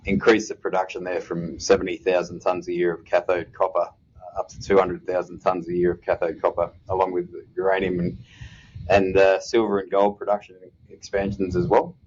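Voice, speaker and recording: An Australian man in his fifties with a very deep voice that stays flat and even, speaking in a measured way with frequent pauses. The sound is slightly dull and lo-fi. He answers interview questions about his career as a guest. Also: a little hiss or hum. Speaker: mining executive guest.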